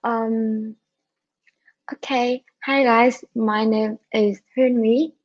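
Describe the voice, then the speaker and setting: Slow, higher-voiced Vietnamese woman, muffled call. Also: fairly even intonation. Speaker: conference speaker, co-founder of women-in-tech group. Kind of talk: introductory conference talk. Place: online conference call. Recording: dull lo-fi online call.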